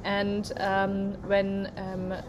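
Woman co-host with halting non-native phrasing. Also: medium pitch, monotone, dull audio, over music. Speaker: podcast co-host. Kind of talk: casual motivational chat.

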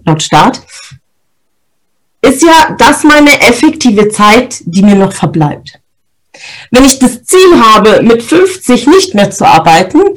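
A woman's voice: a little high, rough recording. A woman, hosting a podcast and giving a motivational talk on setting goals, explaining step by step and checking in with her listeners. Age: 30s. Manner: lively, explains step by step with frequent "ja?" check-ins. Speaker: podcast host.

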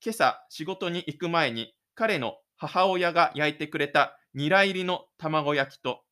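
A man's voice: fairly high pitch, pushed and bright. A man presenting his own self-made audio drama, moving between casual radio-show talk and scripted narration.

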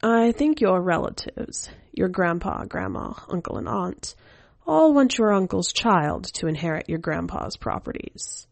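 A woman voicing a sly, coaxing female skeleton spirit in an audio story, in a hushed manner. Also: lowish voice, animated, a little slow, gravelly, no room sound, exaggerated voice. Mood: frank.

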